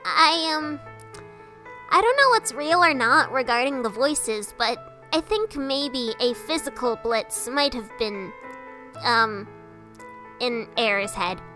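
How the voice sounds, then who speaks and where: A woman's voice: high voice, lively intonation, slow pace, sharp tone, faint music behind, heavily acted. A woman voicing a small, earnest, boyish skeleton hero in a comic dub, youthful and hesitant, anxious but kind. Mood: uneasy, firm.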